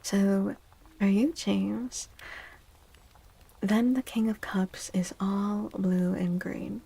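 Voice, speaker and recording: A woman hosting a soft-spoken ASMR tarot stream, talking gently and breathily, often near a whisper, with drawn-out delivery. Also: medium pitch, steady tone, vocal fry, husky, low-quality recording. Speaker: livestream host giving tarot readings.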